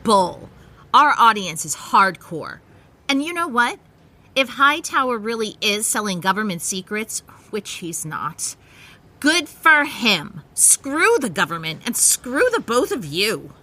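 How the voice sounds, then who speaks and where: An actress playing a blunt, sarcastic and hot-tempered young punk musician in an audio drama. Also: female voice, medium pitch, very animated, slow pace, bright, forceful tone, full character voice. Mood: angry, defiant. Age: young adult.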